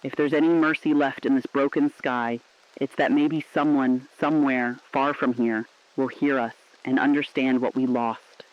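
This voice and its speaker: A man's voice: mid-range voice, muffled recording, natural voice. A man playing a mournful but defiant last radio broadcaster, speaking solemn, earnest, poetic lines in a scripted drama.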